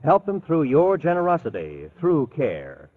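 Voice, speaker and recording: A man's voice: on the high side, huge pitch swings, measured pace, no echo. A man with an American accent reading a scripted mid-century radio announcement in an earnest, formal way. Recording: tinny old radio broadcast.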